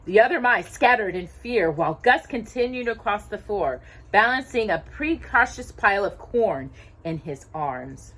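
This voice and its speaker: A woman reading a children's storybook aloud in an expressive, animated storyteller's manner. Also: medium pitch, normal speed, slightly rough. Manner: animated, asks listeners questions.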